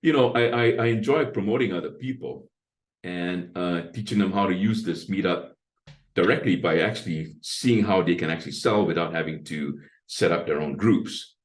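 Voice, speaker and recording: A man in his sixties with a deep bass voice, talking at length as an interview guest and explaining his approach point by point. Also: normal speed. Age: 60s. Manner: talkative, explains with lists and asides. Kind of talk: casual interview talk.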